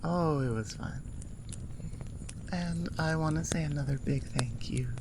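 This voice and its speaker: A woman's voice: low-pitched, measured pace, background noise. A woman audio creator chatting casually to her listeners in an informal, self-deprecating, rambling way. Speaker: ASMR audio creator and host.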